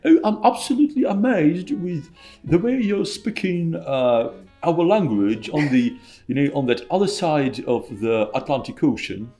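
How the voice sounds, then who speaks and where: A man playing a pompous British gentleman in a bowler and monocle, with a British accent, for a podcast joke. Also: fairly high pitch, big pitch swings, unhurried, soft background music, voice pushed up. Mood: mock amazed.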